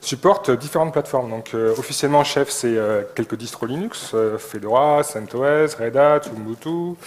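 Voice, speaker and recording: A man's voice: medium pitch, normal speed. A man giving an informal technical presentation, explaining step by step with short listed examples and some hesitation. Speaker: conference co-speaker, software developer.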